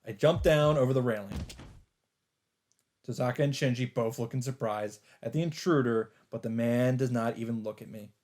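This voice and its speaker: A man reading a visual novel aloud as a let's player, earnest and expressive, mixing dramatic narration with casual commentary. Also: medium pitch, moderate pace. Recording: background music under the voice. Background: game music.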